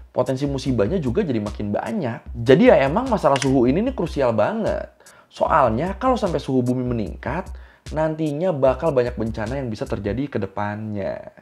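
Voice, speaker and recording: A man's voice: mid-range voice, darkish tone, a little hiss or hum. A young adult man with a Jakarta Indonesian accent hosts an explainer, chatting casually and in slang, speaking straight to the viewer. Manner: colloquial, slangy, addresses the viewer directly. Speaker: explainer video host.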